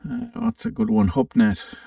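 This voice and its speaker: A man giving a casual, unscripted software walkthrough, thinking aloud with some hesitation as he presents. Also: very high voice, wildly swooping pitch, moderate pace, gravelly, a little hiss or hum. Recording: roomy, slightly distant microphone.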